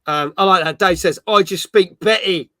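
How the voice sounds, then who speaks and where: A middle-aged man hosts a casual gaming chat, rambling cheerfully and reading out viewers' messages. His voice is slightly high and very bright. The sound is dry and close. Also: moderate pace, no echo. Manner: rambling, upbeat. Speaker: host.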